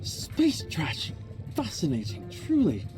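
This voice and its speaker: A man playing an eccentric, absent-minded scientist in a video game, rambling and excitable about his research. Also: a little high, slow pace, soft background music, exaggerated voice. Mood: rapt, excited. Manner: rambling, excitable, self-absorbed. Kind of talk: video game character acting.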